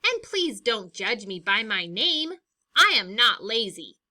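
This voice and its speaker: A woman's voice: on the high side, very animated, measured pace, sharp tone, exaggerated voice. A woman voicing a patient male sloth candidate giving an earnest, reassuring speech in a storybook.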